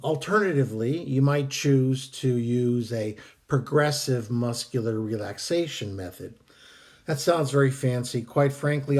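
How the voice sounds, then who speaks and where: A man whose voice is worn and hoarse from a cold walks the listener through a method step by step. He talks in a relaxed, halting way, pausing often with fillers, at a medium pitch. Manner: relaxed, halting, frequent ums and uhs. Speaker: astral projection teacher. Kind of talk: casual instructional talk.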